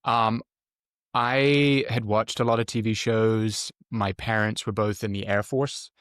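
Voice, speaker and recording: Interview guest, male, middle-aged, low pitch, explanatory and halting, dry sound. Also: level tone, a bit bright, clear, resonant tone, dry recording. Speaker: guest, former Army Ranger medic. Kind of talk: conversational interview answers.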